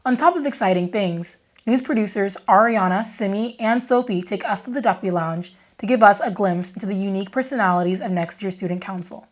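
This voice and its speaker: A woman anchoring a student news show, reading scripted links in an even, measured way. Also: mid-range voice.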